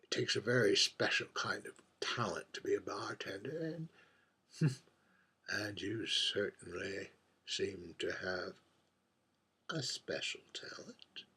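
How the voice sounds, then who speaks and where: Stage monologue: lonely, boozy drag queen played by a man, husky voice, unhurried and halting, wistful barroom confidant. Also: lowish voice, sharp tone, heavily acted. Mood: chatty, tipsy. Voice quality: husky, breathy. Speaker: writer reading own monologue. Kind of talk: theatrical dramatic monologue.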